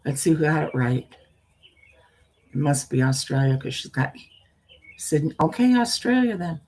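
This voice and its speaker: An older woman with a husky voice and a folksy, meandering manner, talking as a storyteller hosting her own live stream. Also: low voice, very animated, normal speed, a little mellow, a little hiss or hum, low-quality recording. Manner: folksy, meandering, often trailing off and repeating. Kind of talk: casual rambling chat with viewers; reading a spooky story aloud.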